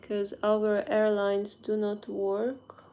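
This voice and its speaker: A young woman from Ukraine with a Ukrainian accent, chatting casually in English on a phone livestream and often pausing to search for words. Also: medium pitch, completely flat delivery, warm tone. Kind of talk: casual livestream chat while doing makeup.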